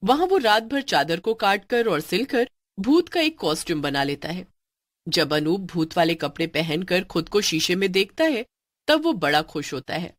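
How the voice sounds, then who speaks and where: A woman narrating a cartoon story in a lively storytelling manner. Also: on the low side, normal speed, no echo, clean studio sound.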